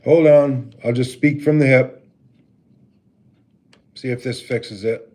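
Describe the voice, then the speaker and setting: A man with a deep voice hosting a casual livestream, greeting viewers by name and talking with many halting filler pauses. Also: steady tone, dark tone. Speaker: livestream host. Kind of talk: casual live stream chat with viewers. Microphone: laptop mic.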